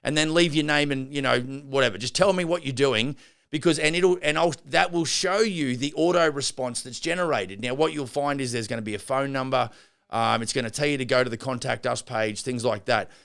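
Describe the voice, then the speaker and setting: A man's voice: medium pitch, brisk, gravelly, fairly bright tone, clear, resonant tone. A middle-aged man and builder hosting a business podcast, talking off the cuff and rambling through restarts and fillers. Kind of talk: unscripted business podcast monologue.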